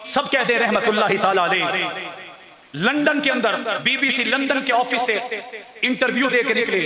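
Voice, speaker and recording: High-pitched Pakistani male preacher, echoing crowd rally. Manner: impassioned, declamatory, rousing the audience with questions. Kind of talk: fiery religious oratory to a crowd. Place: large religious gathering, heard over loudspeakers with a crowd. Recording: echoing PA sound with crowd noise.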